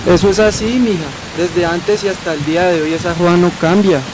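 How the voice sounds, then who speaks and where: A man's voice: high voice, fairly even intonation, brisk, natural voice. A man playing a plain-spoken Colombian grandfather, salty and colloquial, in an amateur radio drama. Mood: grumbling. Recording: noisy amateur recording.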